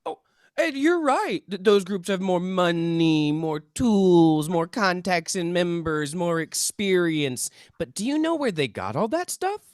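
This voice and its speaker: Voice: mid-range voice, big pitch swings, measured pace, dry recording, clean studio sound. A performer in a table read playing Hank, a defensive, paranoid male amateur UFO researcher who speaks emphatically and stammers. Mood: indignant, preachy. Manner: stammering, emphatic, self-serious. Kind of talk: table read of a comedy script.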